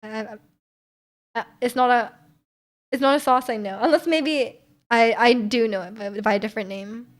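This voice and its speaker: A young woman streaming live and chatting casually with her viewers. Her voice sits a little high, and she rambles, restarting sentences and filling gaps with likes and ums. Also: moderate pace, long pauses. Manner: rambling, many fillers and restarts, frequent laughter. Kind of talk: casual chat reading viewer comments.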